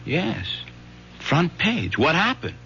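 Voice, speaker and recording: High-pitched earnest teenage boy. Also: wildly swooping pitch, slow, pushed and bright, slightly rough, full character voice. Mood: uneasy. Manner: hesitant, earnest. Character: evasive, earnest teenage son reassuring his mother. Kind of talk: 1970s radio drama acting. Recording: muffled old radio recording, faint hum.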